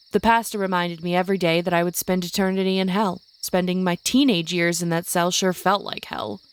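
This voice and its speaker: An actress playing an older, hardened witch who leads and protects her coven, speaking in a grave, measured, commanding way in an audio drama. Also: on the low side, smooth, natural voice.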